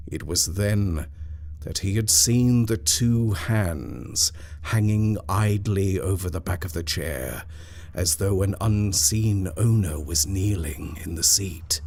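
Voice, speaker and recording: A man with a very low, deep voice reads a ghost story at an unhurried, drawn-out pace. His delivery is measured and deliberate, sometimes dropping to a hush. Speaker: narrator. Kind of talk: scripted horror story reading.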